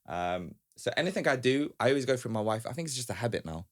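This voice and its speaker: A man's voice: medium pitch, big pitch swings, quick pace. A man talking informally and chattily as a guest on a casual podcast. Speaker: guest, small business founder.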